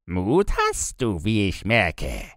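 A man's voice: lowish voice, huge pitch swings, slow pace, a bit bright, no echo, clean studio sound, heavily acted. A man voicing a sly devil disguised as a big huntsman in a fairy-tale audiobook, taunting and tempting.

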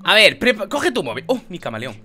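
A man's voice: high voice, huge pitch swings, a little fast, pushed and bright. A man in his twenties with a Spain Spanish accent, bantering casually over a video game in a mocking, needling way. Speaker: gaming YouTuber, host. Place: indoor gaming room. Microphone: close studio condenser microphone, with headphones. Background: faint game music.

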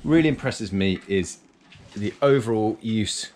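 A man's voice: lowish voice, expressive, a little slow, a little mellow, a little hiss or hum. A man presenting a casual walk-through boat review in clipped, fragmentary phrases, recorded on a handheld action camera. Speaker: presenter reviewing a sailing yacht.

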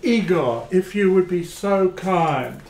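A man's voice: high-pitched, animated, takes it slowly, creaky, darkish tone, exaggerated voice. A man playing a formal, droll servant of a vampire household in an amateur radio play. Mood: courteous. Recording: echoey room, faint noise.

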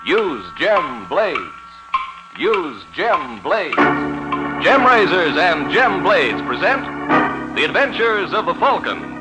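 A man's voice: high-pitched, very animated, slow, loud music behind the voice, low-quality recording. A man announcing and narrating in scripted 1940s radio style.

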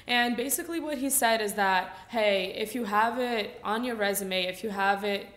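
A woman's voice: medium pitch, moderate pace, fairly bright tone. A Brazilian woman in her twenties, a native Portuguese speaker, giving a casual symposium talk at a lectern microphone with lots of fillers. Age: 20s. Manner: casual, chatty, frequent fillers. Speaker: student speaker. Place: lecture hall at a lectern. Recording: echoey room.

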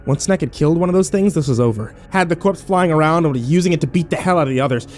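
A man's voice: fairly high pitch, very fast, faint music behind, lightly acted. A man playing a sarcastic, battered young man who narrates his own horror audio drama with wry, sweary self-deprecation. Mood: tense narration.

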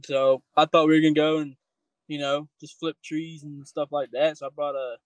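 Higher-voiced man in casual, halting chat. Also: flat delivery, no echo, poor audio. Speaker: podcast guest, co-angler.

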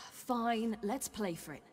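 An actress playing a headstrong, brisk young female warrior in a fantasy game, urgent and defiant, in a reverberant space. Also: fairly high pitch, breathy edge. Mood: brisk, determined. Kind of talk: cinematic game acting.

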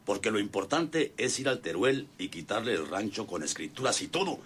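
A man's voice: fairly low pitch, lively intonation, normal speed, fairly bright tone, slight background noise. A man acting a boastful, bossy ranch boss in an old-fashioned radio drama, with a rural northern Mexican accent and folksy, domineering manner. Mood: scheming.

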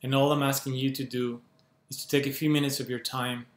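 A man in his thirties from Cuba makes a heartfelt appeal straight into a webcam. He speaks in measured, urgent phrases at a middle pitch. The small room at home gives his voice a noticeable echo. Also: flat delivery. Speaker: activist making an appeal. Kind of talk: earnest direct-to-camera appeal. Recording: roomy echo from a bare room.

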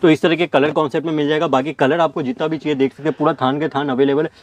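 A young man showing fabric stock on a warehouse floor, reeling off items in rapid salesman patter. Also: a little high, steady tone. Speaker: fabric seller. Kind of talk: product showcase walkthrough.

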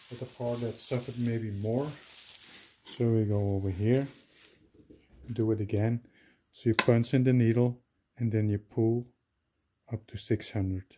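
A man giving a casual hands-on demonstration at a table, talking haltingly with many fillers and self-corrections. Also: medium pitch, background noise. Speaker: DIY video maker. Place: indoors at a table.

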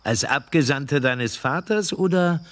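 An actor playing a menacing crime boss in a radio drama, husky, slow and taunting with mock tenderness. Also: male voice, fairly high pitch, a bit bright. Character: mocking, menacing male crime boss, slyly affectionate.

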